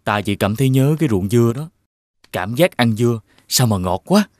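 A man's voice: medium pitch, expressive, moderate pace, a little mellow, no room sound, lightly acted. Man playing a pensive young prince, dry sound. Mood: wistful, troubled. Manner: pensive, sincere. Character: young lovesick prince, gentle and wistful. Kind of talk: animated fairy-tale dialogue.

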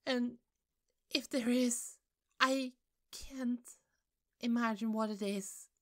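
A woman playing a hurt, fed-up office coworker in an ASMR roleplay, halting and pleading, with pauses and sighs. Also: fairly high pitch, fairly even intonation, a bit bright, pitched above the performer's own voice. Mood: upset, pleading. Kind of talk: ASMR roleplay, slow intimate monologue.

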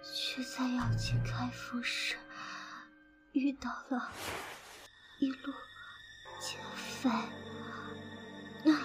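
Woman playing a wounded young woman, hushed whisper. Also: high-pitched, little pitch movement, over loud music. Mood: weak, pleading. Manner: halting, faltering whisper. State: weak and injured, breathy. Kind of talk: audio drama acting.

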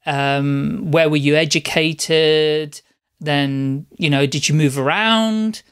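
A woman's voice: low voice, slow, clean tone. A woman from the UK hosting a podcast, friendly and encouraging as she interviews her guest. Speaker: podcast host.

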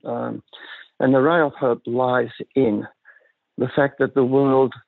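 Older male guest, gravelly and husky, measured and reflective, heard over a dry, tinny phone line. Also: medium pitch, fairly even intonation, no echo. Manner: measured, thoughtful, halting with restarts. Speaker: guest psychiatrist. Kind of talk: reflective interview talk.

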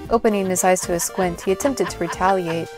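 A woman's voice: fairly low pitch, quick pace, music in the background. A woman reading scripted story narration as the narrator of an audio drama.

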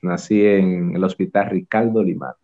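Jovial low-voiced Dominican man on a call. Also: steady tone, moderate pace, dark tone, muffled recording. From Puerto Plata, Dominican Republic. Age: 40s. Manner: jovial, playful self-praise. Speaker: guest. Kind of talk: casual interview chat. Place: indoors, bright room. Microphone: video call.

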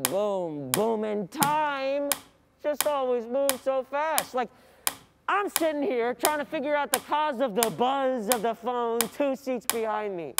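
A woman's voice: fairly high pitch, background noise. Woman acting an anxious, overwhelmed young student. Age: young adult. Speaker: stage actor. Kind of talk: theatrical stage monologue. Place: theatre stage, indoors.

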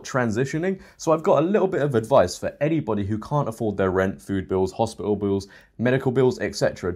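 A man's voice: medium pitch, a little mellow. A young British man in his twenties giving mocking, sarcastic reaction commentary to the camera from a small home studio. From Britain. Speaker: commentary host.

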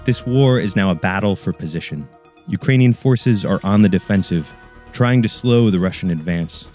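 A man reporting from the front line as a war correspondent, grave and measured. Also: sits low, warm tone, faint music behind. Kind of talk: news narration and field reporting.

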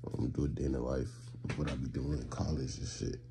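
Deep-voiced teen guy vlogging on a phone. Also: steady tone, fast talker, noisy background. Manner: rambling and slangy, lots of fillers, talks to chat. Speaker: college student vlogger and streamer. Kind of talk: casual vlog chat to camera. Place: small bedroom and bathroom indoors. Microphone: phone camera held at arm's length or propped.

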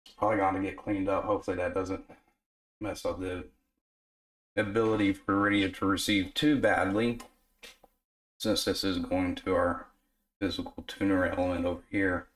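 A man with a hoarse voice from a recent illness, casually explaining a radio repair at his workbench. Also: low-pitched, moderate pace, pauses often, poor audio. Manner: relaxed, filler-heavy explaining while working. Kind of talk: casual workshop narration. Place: workbench in a home repair shop.

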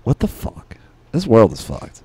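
Lively man in dry, casual chat. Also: lowish voice, a little slow, breathy edge, no room sound. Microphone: podcast microphone in a small studio.

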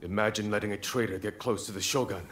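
An actor playing a stern, measured samurai commander in a video game cutscene. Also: male voice, fairly low pitch, steady tone, fairly bright tone, a little hiss or hum, natural voice.

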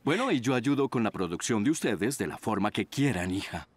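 Gentle, animated cartoon dad. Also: male voice, fairly low pitch, brisk, breathy edge, no echo. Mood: gentle, hesitant. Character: gentle, cautious cartoon father. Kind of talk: animated series dubbing.

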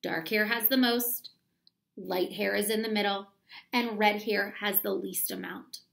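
A woman's voice: medium pitch, steady tone, unhurried, gravelly, a bit bright. A woman reads aloud to children as a teacher, explaining step by step and putting questions to them. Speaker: elementary school teacher reading aloud. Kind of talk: instructional read-aloud for children. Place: small room at home, indoors.

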